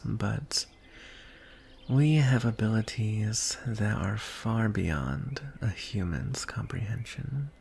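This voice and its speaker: A man voicing a lonely, courtly dragon in a fantasy ASMR roleplay, speaking softly and breathily in measured, formal phrases. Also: lowish voice, a little hiss or hum. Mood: calm, proud. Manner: soft, hushed, breathy delivery with deliberate pauses. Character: lonely, courtly male dragon wooing a prince.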